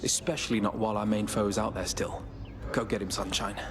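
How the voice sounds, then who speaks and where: A man playing an easygoing, supportive god in a video game, speaking informally and with gentle encouragement. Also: lowish voice, fairly even intonation, brisk, over music, close to an everyday voice. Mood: encouraging.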